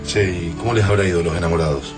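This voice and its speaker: A man's voice: deep voice, rapid-fire, natural voice. A man with an Argentine accent playing a casual, chatty man in a radio drama, in colloquial speech. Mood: casual, familiar. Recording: music under the voice.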